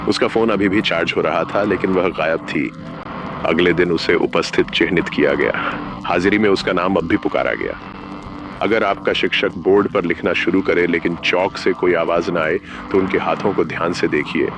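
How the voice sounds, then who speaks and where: Very low male voice, formal measured announcer, scripted horror bulletin narration, noisy rumbling background.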